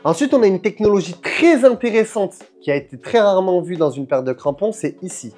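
Young male boot reviewer, mellow tone, upbeat and pally, soft music underneath. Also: sits high, brisk. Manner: energetic, chummy, addresses viewer as "mon soldat". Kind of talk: casual product review to camera. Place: indoor room with shelves of football boots.

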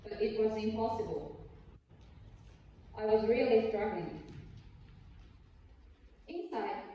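A young Japanese woman with a Japanese accent giving a memorized speech at a lectern on an auditorium stage, earnest and a bit halting. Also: mid-range voice. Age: young adult. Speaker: student speaker. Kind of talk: memorized speech contest talk. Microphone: lectern mic, filmed from far in the hall. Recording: distant, echoey hall, dull sound.

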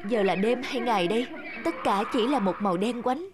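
A woman voicing a distressed young man talking dramatically to himself in an animated fairy tale. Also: fairly high pitch, brisk, close to an everyday voice. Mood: frightened, despairing. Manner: dramatic self-talk. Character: distressed young man, blinded and abandoned in forest. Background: music.

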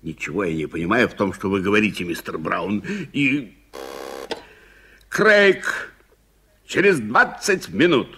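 An actor in a Soviet radio play playing an irritable, impatient middle-aged gentleman, brusque and commanding. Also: on the low side, animated, unhurried, long pauses, a little hiss or hum. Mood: irritated, impatient. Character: irritable, impatient gentleman suspect, uncle of a nephew.

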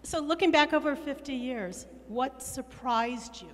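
Casting: female audience questioner, high pitch, reverberant hall recording. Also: a little slow. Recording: echoey hall, clear background noise and hum.

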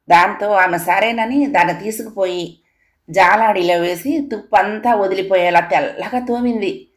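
A woman narrating a scripted moral folk tale as a storyteller. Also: medium pitch.

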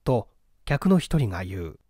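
A man's voice: fairly low pitch, very animated, unhurried, mellow, breathy edge, no room sound, clean studio sound. A man reading a classic mystery novel as an audiobook narrator, in formal, dramatic storytelling style.

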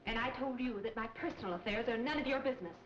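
A woman's voice: on the high side, quick pace, reverberant, slight background noise, natural voice. An actress playing a proud young woman in a 1940s crime film, cool and firm in manner. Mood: defiant. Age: young adult. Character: proud, defiant young woman mixed up in smuggling. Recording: dull 1940 film soundtrack, music behind.

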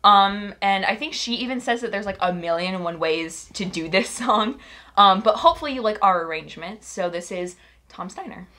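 A woman's voice: medium pitch, expressive, pushed and bright. A young woman hosting a music channel, chatting in an upbeat, bubbly way from her bedroom before a song. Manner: bubbly, quick, enthusiastic. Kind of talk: upbeat casual intro chat. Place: bedroom with posters and a guitar, indoors.

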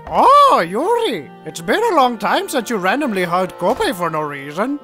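A woman's voice: medium pitch, sing-song, moderate pace, pushed and bright, faint music behind. A woman voicing an eccentric, breezy old grandmother with a folksy, offhand manner in a cartoon parody dub. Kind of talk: comedic anime parody dub.

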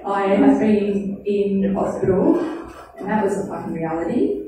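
A woman in her thirties rambles through a crude, self-deprecating stand-up set on a handheld mic, often laughing at her own lines. Her voice is mellow and dark-toned, caught from the audience in a very echoey, distant small club with faint room ambience. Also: medium pitch, animated, measured pace, a little hiss or hum. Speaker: stand-up comedian. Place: small comedy club stage. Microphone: handheld stage mic, filmed from the audience.

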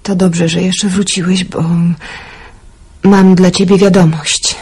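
An actress playing Baśka, a gentle, hopeful young woman, in a radio play. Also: lowish voice, little pitch movement, takes it slowly, breathy edge, full character voice. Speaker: Baśka, Hubert's partner.

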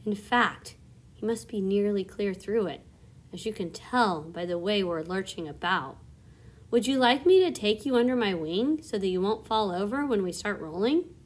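A woman doing a gentle kindly ladybug. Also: mid-range voice, lively intonation, normal speed, lightly acted. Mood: kind, reassuring.

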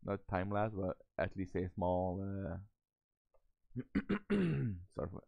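A man's voice: low-pitched, big pitch swings, takes it slowly, creaky, dry recording. A man hosting a game server, talking through a casual voice-over with many fillers and restarts while losing his voice to a cold. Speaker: Minecraft server host. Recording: tinny phone-like lo-fi sound.

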